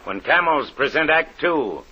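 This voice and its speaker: A man's voice: a little high, lively intonation, a little slow, heavy background noise. A man announcing a radio mystery program in a formal 1940s broadcast style. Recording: dull vintage radio broadcast.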